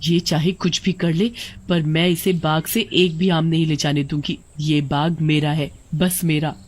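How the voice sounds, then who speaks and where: A woman's voice: sits low, level tone, unhurried. Lady doing an angry mango tree witch, menacing n cackly, hindi horror cartoon style. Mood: possessive anger. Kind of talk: animated horror storybook acting.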